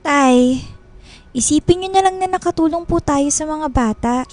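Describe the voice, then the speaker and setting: A woman's voice: high-pitched, moderate pace, a little mellow, soft background music, natural voice. A young woman playing a dutiful, troubled daughter and little sister in a Filipino radio drama. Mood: anxious, pleading.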